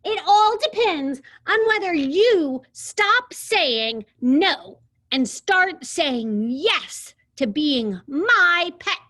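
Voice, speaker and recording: A woman voicing a bossy, screechy little girl in a storybook read-aloud, with a squeaky, snippy voice. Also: sing-song, slow, hardly any pauses, sharp tone, heavily acted. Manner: shrill, demanding, sing-song.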